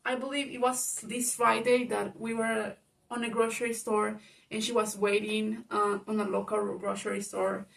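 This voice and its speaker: A woman with a Spanish-speaking accent, a native Spanish speaker, telling a personal story in a rambling monologue full of fillers. She describes her own voice as loud. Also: medium pitch, flat delivery, moderate pace, gravelly, far from the mic, rough recording. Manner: rambling, lots of fillers, grows loud when reenacting arguments. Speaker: vlogger.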